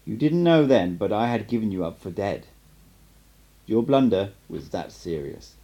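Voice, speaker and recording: A man's voice: on the low side, expressive, moderate pace, dark tone, poor audio. A man voicing an assured, cryptic sorcerer mentor in an audiobook, with an emphatic, instructive manner. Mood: solemn, emphatic.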